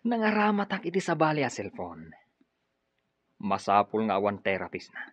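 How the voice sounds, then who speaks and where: An actor playing a scheming, gloating villainous cousin in a radio drama, with a sly manner and a Filipino accent. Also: male voice, on the low side, huge pitch swings, moderate pace, no echo, lo-fi sound. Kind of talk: Ilocano radio drama acting.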